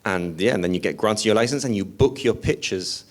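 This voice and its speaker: A man's voice: on the low side, fairly quick. A man with a slightly whiny edge, chatting casually and self-deprecatingly as a musician being interviewed. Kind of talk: casual anecdotal interview talk.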